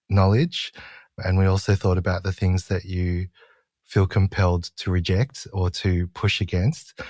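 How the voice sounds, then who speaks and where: A man talks as an editor in a casual, reflective interview. His voice is pitched quite low and is recorded dry, with no room around it. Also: darkish tone, dry recording. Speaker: magazine editor being interviewed.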